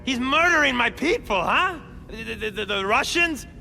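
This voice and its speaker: A man's voice: very high voice, lively intonation, normal speed, vocal fry, pushed and bright, faint music behind, full character voice. A man playing a jittery, bragging small-time criminal turned supervillain in a video game, stammering and tacking questioning tags onto his sentences. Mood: panicked. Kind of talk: video game cutscene acting.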